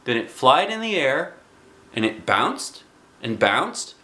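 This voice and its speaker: Animated man reading a children's story. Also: mid-range voice. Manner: animated, dramatic pauses and emphasis. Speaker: storybook reader. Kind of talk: expressive children's book read-aloud. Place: small living room indoors.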